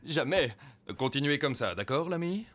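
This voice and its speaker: Proud male cop, swinging pitch. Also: animated, normal speed, fairly bright tone. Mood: smug pride. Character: proud, self-important male police officer. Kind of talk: French cartoon dub.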